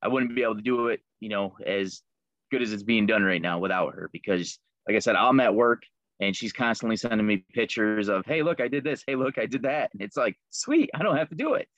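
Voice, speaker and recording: A man's voice: lowish voice, animated, a little fast, a bit bright, no echo, highs cut off. A man guest talking casually about the fishing lures he makes, easygoing, with many ums and fillers. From Liverpool, New York. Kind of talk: casual interview chat.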